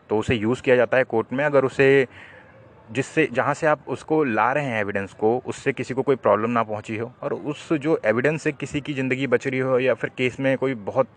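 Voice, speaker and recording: Male legal presenter, mid-pitched voice, didactic explanatory delivery. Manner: didactic, poses questions then answers them. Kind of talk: explanatory legal talk to viewers.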